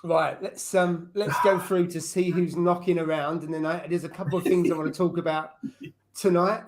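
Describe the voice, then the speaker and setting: A man hosting a casual livestream chat with relaxed, dry quips. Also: fairly high pitch, moderate pace, noisy background. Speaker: host.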